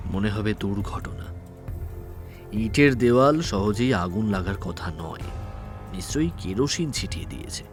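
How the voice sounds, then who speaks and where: A man narrates an audio story in a scripted, dramatic style. His voice is on the low side. Faint music plays beneath him. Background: faint background music.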